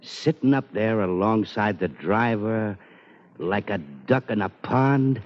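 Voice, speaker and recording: An actor playing a swaggering, excitable Old West outlaw with a mocking, drawn-out delivery in a 1940s radio drama. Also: male voice, fairly low pitch. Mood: menacing glee. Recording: muffled old radio recording.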